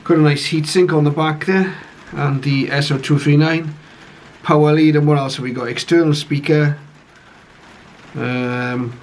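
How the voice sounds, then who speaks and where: A man giving a casual, low-key first-look commentary on a piece of equipment, speaking hesitantly and without hurry. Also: fairly even intonation, measured pace. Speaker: radio hobbyist reviewer. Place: conservatory, later a home radio room. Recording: clearly noisy home recording.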